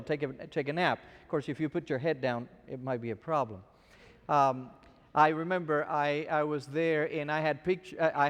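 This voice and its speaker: A man's voice: fairly high pitch, in a large hall. A man from Argentina, a scholar guest, telling chatty stories with frequent restarts. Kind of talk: anecdotal lecture.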